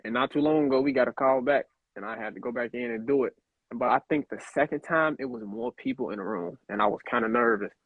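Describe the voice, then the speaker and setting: A young man from Chicago chats as an interview guest in a relaxed, rambling way. His voice carries a gravelly fry, and it comes through a tinny, phone-like line. The sound is dry and close. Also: mid-range voice, quick pace, no echo. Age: young adult. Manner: laid-back, rambling with many fillers. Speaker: actor guest. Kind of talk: casual interview chat.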